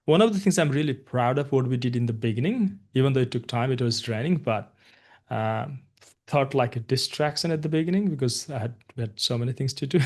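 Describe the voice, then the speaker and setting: A man's voice: mid-range voice, animated, fairly quick, darkish tone. A man from Nepal, a guest agency founder, talking casually in an interview, often starting with "look" and "you know".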